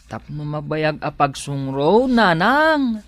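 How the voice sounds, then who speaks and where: Man acting a slow-talking defiant young farmhand. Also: on the high side, very animated, fairly bright tone, no room sound, exaggerated voice. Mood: affectionate, teasing. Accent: Ilocano. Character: strong, defiant young farmhand son, devoted to mother. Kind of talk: Ilocano audio drama acting.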